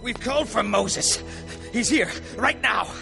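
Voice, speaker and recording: Whining animated court attendant: nervous, exaggerated, high-pitched with gravelly creak. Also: female voice, measured pace, over music. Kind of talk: animated film character acting.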